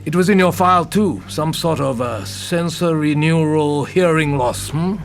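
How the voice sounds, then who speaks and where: An actor playing a gruff, jaded, hard-drinking senior detective in an audio drama, blunt and terse. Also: male voice, on the high side, measured pace. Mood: terse, probing. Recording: music behind the voice.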